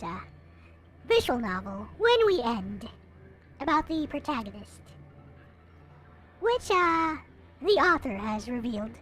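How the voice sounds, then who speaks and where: Female narrator, high and animated voice, dramatic visual novel read-aloud with expressive delivery, music underneath. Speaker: video creator reading a visual novel aloud. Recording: music playing behind the voice. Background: visual novel soundtrack music.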